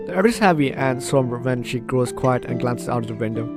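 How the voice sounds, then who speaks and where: A gaming host giving casual, animated playthrough commentary and reading game text aloud, with guitar music behind. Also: mid-range voice, fairly quick. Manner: animated, chatty reactions to the game.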